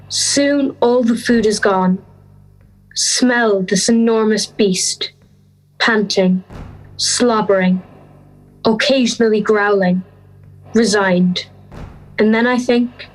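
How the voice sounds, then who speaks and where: Slow, halting frightened schoolgirl, acted by a young woman. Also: a little high, low-quality recording. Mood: resigned, reflective. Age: young adult. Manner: halting, introspective, short phrases. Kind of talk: audio drama monologue.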